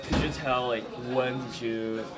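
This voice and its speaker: A man interviewing someone, asking gentle, prompting questions. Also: mid-range voice, fairly even intonation, unhurried. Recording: distant voice under loud music.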